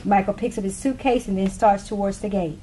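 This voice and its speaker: A woman serves as narrator for a staged play reading. She reads out scripted stage directions in a medium-pitched voice. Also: steady tone, fairly quick, dark tone, slight background noise.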